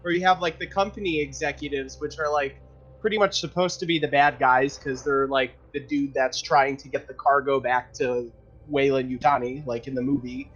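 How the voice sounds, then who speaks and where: A man's voice: mid-range voice, steady tone, moderate pace, fairly bright tone, lo-fi sound. A man playing a tabletop game, chatting casually in a jokey, slangy, profane way. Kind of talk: casual chat.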